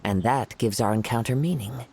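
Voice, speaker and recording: An actor voicing a serene young wandering poet in a video game, soft and breathy, with measured, formal phrasing. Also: male voice, medium pitch, slight background noise, close to an everyday voice. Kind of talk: video game story dialogue.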